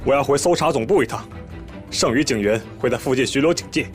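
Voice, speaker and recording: A man acting a brisk, businesslike police inspector in an audio drama. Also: mid-range voice, soft background music, lightly acted. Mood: official.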